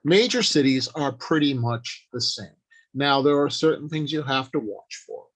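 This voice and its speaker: An older man presenting an informal instructional talk in a halting, deliberate, explanatory way. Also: medium pitch, expressive, fairly bright tone. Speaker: workshop presenter.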